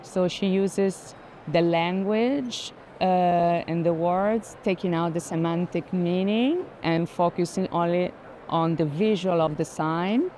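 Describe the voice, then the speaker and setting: A woman with a slightly low voice talks through art in conversational, non-native English. She often stops to find the right word. Faint ambient noise of a busy space is behind her. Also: warm tone. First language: not English. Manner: halting, searches for words. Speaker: art collector, guest. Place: indoor art fair, on the move.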